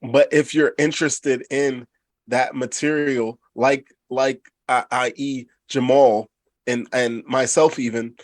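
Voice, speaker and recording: A man with a mid-pitched voice in a very dry recording makes his case on a casual panel. He speaks emphatically and repeats words to stress his point. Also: fairly even intonation, takes it slowly, no room sound. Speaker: podcast panelist. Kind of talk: casual opinionated discussion.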